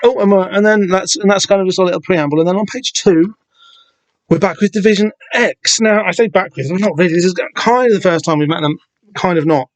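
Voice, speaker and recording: A man's voice: high-pitched, a little fast, no echo. A man hosting a solo comic book podcast, rambling and digressive with lots of fillers and self-corrections. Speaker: podcast host. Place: home room with door open on a summer day.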